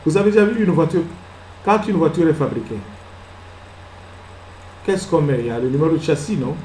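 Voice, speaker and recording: Animated pastor preaching with echo. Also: male voice, fairly high pitch, brisk, a little hiss or hum. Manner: preaching with rhetorical questions, swings from soft to loud; acts out little scenes and laughs at his own jokes. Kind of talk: sermon.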